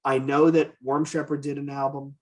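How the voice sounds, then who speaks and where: A man's voice: mid-range voice, level tone, moderate pace. A man co-hosting a podcast in casual, profane, enthusiastic chat about what he is a fan of. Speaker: podcast co-host.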